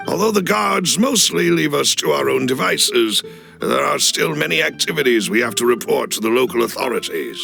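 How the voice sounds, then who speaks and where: A man playing a loyal, cautious butler in a video game cutscene, speaking in a formal, measured, deferential way with a gravelly voice. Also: medium pitch, animated. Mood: measured, analytical. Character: loyal, cautious male butler of a crime boss family. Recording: clean studio voice over music. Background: background music.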